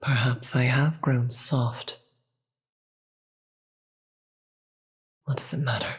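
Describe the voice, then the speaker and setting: A performer voicing a grave, weary demon queen in a dramatic audio roleplay, with a breathy, husky voice and slow, deliberate delivery. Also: mid-range voice, fairly even intonation. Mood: weary, regretful. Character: weary, commanding demon queen, secretly soft-hearted.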